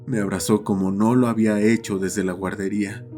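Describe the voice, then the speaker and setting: A man's voice: lowish voice, flat delivery, a little fast, mellow, soft background music. A man reading a scripted horror story as its narrator.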